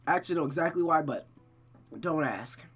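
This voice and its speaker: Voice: mid-range voice, brisk, fairly bright tone, breathy edge, faint music behind. A streamer narrating a visual novel aloud, with casual commentary.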